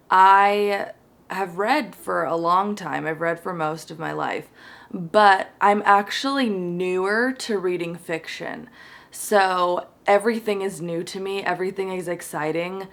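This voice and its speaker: A young woman chatting casually to camera about books, bubbly and rambling with lots of fillers. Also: fairly low pitch. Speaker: book vlogger.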